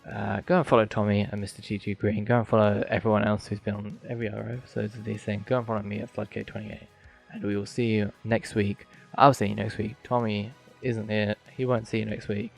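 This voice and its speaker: A man's voice: on the low side, gravelly. A man hosting a solo film podcast, rambling and thinking aloud with many ums, his voice getting dry. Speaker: podcast host.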